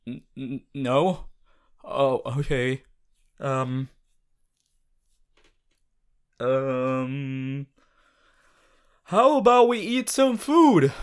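A man playing a young, cheerful aspiring superhero student in an audio roleplay, earnest and goofy, with booming theatrical boasts and hesitant asides. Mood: friendly, coaxing. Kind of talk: ASMR audio roleplay addressed to listener.

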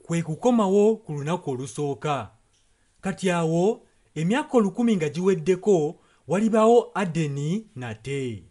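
Higher-voiced Ugandan man interpreting a sermon. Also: lively intonation, normal speed, mellow. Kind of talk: sentence-by-sentence sermon translation.

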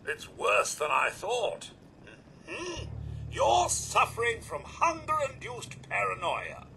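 A prim British robot butler from a video game. The voice is very high and bright, swooping through formal, fretful phrases in an unhurried, theatrical way. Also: male voice, big pitch swings, creaky, slight background noise, heavily acted. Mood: nervously cheerful. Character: polite, fussy, anxious English robot butler. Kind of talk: video game character acting.

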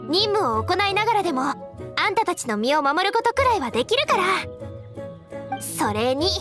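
A woman playing a spirited, dutiful young female knight scout in an anime game, brisk and earnest. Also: very high-pitched, sing-song. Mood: confident, helpful. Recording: music under the dialogue.

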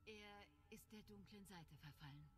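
A woman voicing a calm Jedi master. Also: medium pitch, natural voice. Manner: measured, instructive. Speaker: game character. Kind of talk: dubbed game dialogue. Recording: game audio with music behind.

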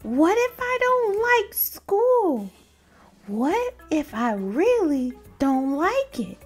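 A woman's voice: extremely high pitch, very animated, very slow, soft background music, heavily acted. A woman voicing an anxious, dramatic, childish cartoon pigeon in a whiny, exclamatory way for a storybook read-aloud. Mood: panicked, fretful. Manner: exclamatory, fretful, theatrical.

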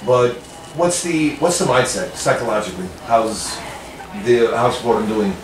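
A man's voice: medium pitch, expressive, a little slow. A man reporter asking a coach polite, formally worded questions at a postgame press conference. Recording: distant echoey room with noise.

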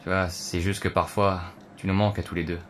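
A man playing a gentle but reproachful husband and father in an audio drama, speaking hesitantly and conciliatorily. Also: low-pitched, little pitch movement, brisk, a little hiss or hum, natural voice. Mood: reproachful, careful. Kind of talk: audio drama acting, natural dialogue.

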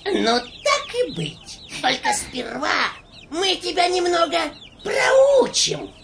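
A woman voicing a haughty, taunting boss mouse in a children's cartoon, with drawn-out, imperious delivery. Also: very high voice, sing-song, creaky, noisy background, exaggerated voice. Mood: mocking. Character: haughty, mocking boss mouse of the field mice.

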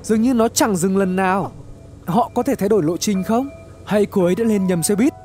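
A man narrating a scripted horror story in a suspenseful, expressive, dramatic way. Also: high voice, brisk. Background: background music.

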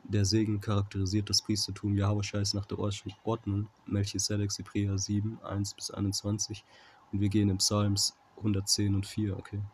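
A man with a fairly low voice teaches scripture informally. His delivery is very flat and halting, and he keeps tagging his points to check that the listener follows. The amateur recording has clear background noise. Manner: halting, often checking with "okay?". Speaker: Bible teacher. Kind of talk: informal Bible commentary with scripture reading.

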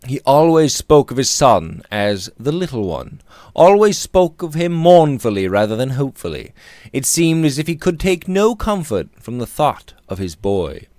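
A man in his thirties narrates an old Victorian novel with theatrical emphasis. His mid-range voice swings widely in pitch as he shapes each phrase deliberately. The sound is close and dry, as from a microphone right by him. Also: sing-song, a little mellow, dry recording. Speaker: audiobook reader. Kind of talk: expressive reading of a Victorian novel. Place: small indoor room. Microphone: close studio mic on boom arm.

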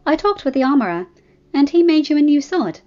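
Woman acting a playful, higher-voiced young noblewoman. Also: very animated, fairly quick, dark tone. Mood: assured. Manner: fond, playful, assured. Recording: muffled lo-fi recording, music behind.

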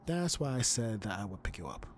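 A man acts out an easygoing young guy in an intimate audio roleplay. His voice sits fairly low, and he chats casually and teasingly, like a devoted best friend up late. Faint background noise runs under the voice. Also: big pitch swings, a little fast. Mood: playful, teasing. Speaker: ASMR roleplay voice actor. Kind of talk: ASMR boyfriend roleplay, casual intimate chat.

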